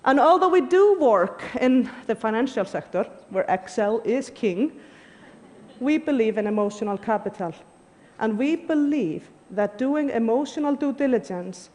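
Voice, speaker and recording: A woman's voice: a little high, animated, unhurried, darkish tone. A woman, giving an earnest, emphatic prepared talk on stage. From Iceland. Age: 40s. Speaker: conference speaker. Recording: long hall reverb.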